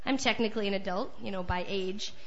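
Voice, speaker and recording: A woman's voice: medium pitch, fast, a bit bright. A woman guest-hosting an open mic, joking and rambling between acts in a chatty, self-mocking way. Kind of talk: casual joking stage banter. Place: small live venue on stage. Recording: dull lo-fi live recording.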